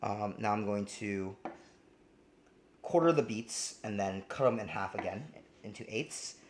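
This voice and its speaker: A man's voice: on the low side, measured pace, slight background noise. Laid-back man hosting a cooking stream. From New Jersey, US. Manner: easygoing, rambling with many ums and restarts. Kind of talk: casual live cooking demo, reading chat.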